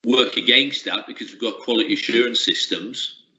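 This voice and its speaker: A man's voice: medium pitch, normal speed, bright, forceful tone. A middle-aged man, a sports coaching lecturer, answering interview questions in a reflective way with frequent restarts. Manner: reflective, uses many restarts and fillers. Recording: lo-fi roomy recording.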